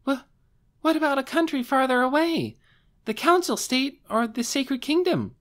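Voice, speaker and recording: A very high, shrill young girl, as a male narrator puts her on for a fantasy audiobook. She is fiercely loyal and excitable. Her lines burst out eagerly, with big swoops of pitch. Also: normal speed. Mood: curious.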